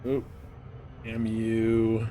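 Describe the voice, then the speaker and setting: A man's voice: completely flat delivery, very slow, mellow. Casual male game streamer over background noise. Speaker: live streamer playing a space flight game. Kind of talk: casual gameplay commentary, chatting to viewers. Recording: clear background noise, game engine rumble. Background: spaceship game sounds.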